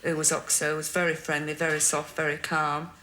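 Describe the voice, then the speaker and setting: Young Yorkshire woman, contestant, deadpan and cheeky, low voice; noisy 1980s TV sound. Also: brisk. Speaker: game show contestant. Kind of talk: recorded date recap interview.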